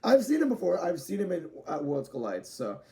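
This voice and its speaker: A young man talks through a wrestling review in a loose, rambling way, restarting his sentences and swearing now and then. His voice sits a little high for a man, yet it has a mellow, darker tone with plenty of gravelly fry underneath. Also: huge pitch swings, brisk. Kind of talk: casual spoken review to camera. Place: home room with dogs nearby.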